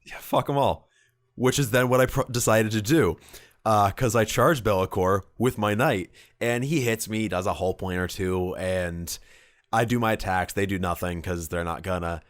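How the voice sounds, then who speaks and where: A man with a very low voice hosts a casual, conversational podcast. He recounts things in a wry, self-deprecating way and swears freely. The sound is dry, with no room echo. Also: normal speed. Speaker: podcast host. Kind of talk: casual chat recounting tabletop wargame battles.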